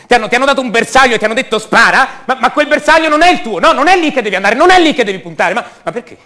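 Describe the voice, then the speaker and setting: An actor playing an anguished young son of a disappeared mother in a radio drama monologue, halting and repeating words with intensity. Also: very high voice, animated, sharp tone, full character voice. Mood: desperate, then hurt, hushed. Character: anguished young son of a disappeared woman, raised by her captors.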